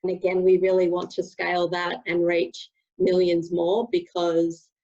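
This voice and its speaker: A woman's voice: lowish voice, completely flat delivery, a little slow, darkish tone, lo-fi sound. A woman with an Australian accent, a company co-founder answering on a webinar panel, hesitant with many fillers and restarts. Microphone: video call.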